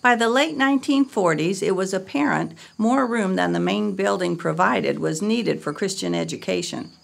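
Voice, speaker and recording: A woman reading a scripted history narration in a measured, read-aloud way. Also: medium pitch, creaky.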